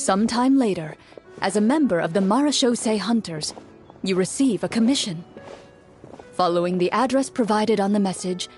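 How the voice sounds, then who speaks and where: An actress playing a composed, cool-headed game master who narrates fantasy in a measured way for a video game. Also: female voice, mid-range voice, lively intonation, a little mellow, close to an everyday voice. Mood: solemn, storytelling. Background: music.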